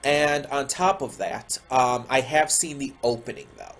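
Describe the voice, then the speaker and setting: Casual reaction host, male, mid pitch, stuffed-up nose, rambly with frequent ums. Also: unhurried, a bit bright, soft background music. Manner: rambling, many ums and restarts. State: stuffy nose, slight sore throat. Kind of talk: casual chat to camera. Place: home room with a window.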